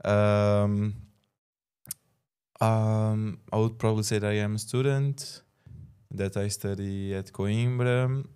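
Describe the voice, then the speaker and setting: Man, twenties, Brazilian accent, low-pitched, hesitant, casual podcast guest. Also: little pitch movement, slow, darkish tone, clear, resonant tone. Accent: Brazilian Portuguese. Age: 20s. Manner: hesitant, thinks aloud with many fillers. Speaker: student guest. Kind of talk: casual chat.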